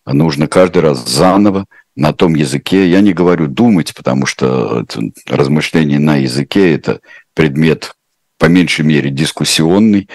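Very deep-voiced man, hesitant and thoughtful. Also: a little mellow, dry recording. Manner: hesitant, thoughtful, heavy with filler sounds. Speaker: translator answering viewer questions. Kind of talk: unscripted reflective answers.

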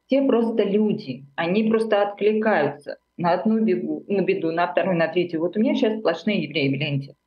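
A woman's voice: on the low side, fairly quick, few pauses. Hesitant woman writer, lo-fi sound. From Armenia. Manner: searching, hesitant, with many fillers and self-corrections. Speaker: writer, guest. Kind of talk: reflective interview talk.

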